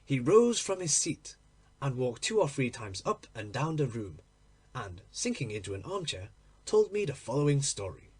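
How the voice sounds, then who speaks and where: Man, audiobook narration, expressive, measured phrasing, very varied melody, husky breathy voice. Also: mid-range voice. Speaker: audiobook reader. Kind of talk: expressive literary story narration.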